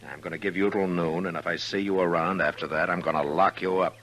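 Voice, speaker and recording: Deep, stern western marshal. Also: male voice, steady tone, fast, a bit bright. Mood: firm, stern. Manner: terse, dry, firm. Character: stern, weary, world-worn western town marshal. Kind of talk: 1950s western radio acting.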